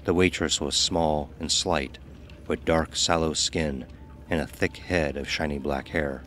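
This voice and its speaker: A man's voice: rumbling low, fairly even intonation, a little slow, fairly bright tone, a little hiss or hum. A man reading scripted story narration as the narrator of an audio drama.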